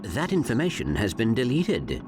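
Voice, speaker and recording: Prim robot sidekick, sing-song swings. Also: male voice, mid-range voice, huge pitch swings, music in the background. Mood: matter-of-fact. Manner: formal, measured, literal-minded. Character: small, polite, precise male robot sidekick. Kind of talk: cartoonish video game acting.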